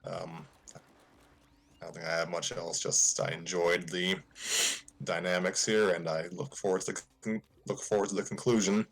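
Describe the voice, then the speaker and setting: A man's voice: low-pitched, level tone, normal speed, creaky. A man co-hosting a livestream in casual, conversational chat. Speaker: co-host of a story-reading livestream.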